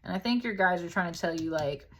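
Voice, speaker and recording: A woman's voice: lowish voice, fast. A woman giving a casual, conversational tarot reading in an informal, chatty way, as if thinking aloud. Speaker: tarot reader, host.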